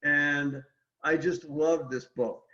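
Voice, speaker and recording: A man with a fairly high voice talks casually about his craft as an interview guest, laying each point out step by step. He speaks directly to his listeners. The sound is very roomy and a little dull. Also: fairly even intonation, slow, bright, forceful tone. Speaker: picture book illustrator, guest. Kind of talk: casual explanatory chat about art.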